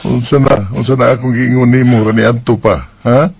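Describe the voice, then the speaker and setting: A man's voice: medium pitch, fairly even intonation, brisk, creaky, darkish tone, slightly rough, dry recording. An actor playing a man's blunt buddy in a radio drama, pressing him with questions. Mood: needling. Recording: close, dry, muffled sound.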